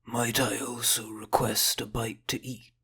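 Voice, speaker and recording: A man playing a measured, courteous gentleman traveller with a gravelly, husky voice and formal politeness in an audio drama. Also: mid-range voice, flat delivery, exaggerated voice. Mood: gracious.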